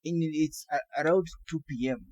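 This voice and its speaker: A man with a Kenyan accent, a native Kikuyu speaker, chatting casually as a podcast co-host, animated and swearing freely. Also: a little high, normal speed, no room sound. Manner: animated, swears freely, restarts words often.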